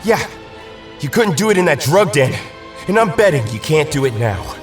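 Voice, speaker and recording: A man's voice: a little high, sing-song, moderate pace. A man playing a firm, principled superhero in an audio drama, earnest, urgent and confrontational. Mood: urgent, angry. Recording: distant mic, faint music.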